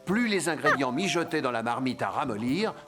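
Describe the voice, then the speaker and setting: A man narrating a cartoon in a gentle, even storytelling manner. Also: medium pitch, fairly quick, over loud music.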